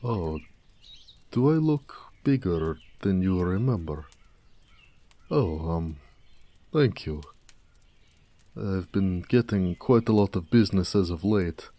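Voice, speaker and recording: Male actor as a burly orc blacksmith, very low voice, slow unhurried delivery, gentle, affectionate, intimate roleplay audio. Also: animated. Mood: proud, affectionate. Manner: unhurried, soft-spoken, courteous, slightly formal.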